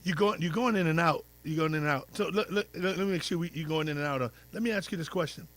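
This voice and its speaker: Higher-voiced male radio host, repeating questions. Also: fast talker, a bit bright. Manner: repeats himself to confirm he is heard. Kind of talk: interviewer checking the connection.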